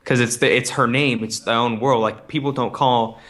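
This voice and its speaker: A man's voice: medium pitch, fairly even intonation, normal speed, a bit bright. A young American man co-hosting a podcast with casual, wry chat. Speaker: podcast co-host.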